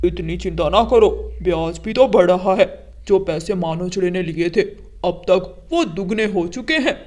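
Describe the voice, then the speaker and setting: A woman's voice: on the low side, normal speed, a little mellow, voice pushed down, close to an everyday voice. Woman doing a greedy crow guy in a cartoon, angry moneylender, pushy and threatening. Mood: smug, greedy. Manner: demanding, threatening.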